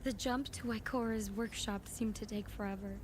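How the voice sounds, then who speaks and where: A woman's voice: medium pitch, fairly even intonation, moderate pace, noisy background, lightly acted. A woman playing a desperate, hot-tempered young female pilot in a fan-made audio drama. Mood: anxious, subdued narrating. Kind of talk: fan audio drama acting, first-person narration and dialogue.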